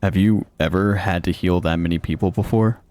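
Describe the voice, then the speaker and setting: Deep, gentle, protective companion played by a man. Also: fairly quick, dry recording, natural voice. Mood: gentle, concerned. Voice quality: soft, calming. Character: gentle, protective, worried male companion of a healer. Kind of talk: dark fantasy audiobook dialogue.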